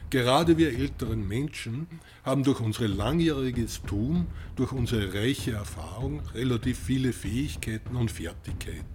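A man's voice: medium pitch, lively intonation, moderate pace, a little mellow. Man, late sixties, mid-range voice, prepared testimonial over faint noise. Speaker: member of senior activist group.

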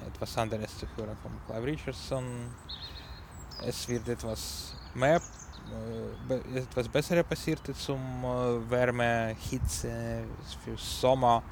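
A Ukrainian-accented man hosting a community radio music show in halting, non-native German full of fillers and restarts. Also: mid-range voice, fairly even intonation, creaky, a little hiss or hum. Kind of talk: casual radio music talk.

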